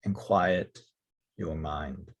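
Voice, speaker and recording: Slow, low, husky male meditation guide. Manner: calm, soothing, long pauses between short phrases. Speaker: yoga nidra teacher.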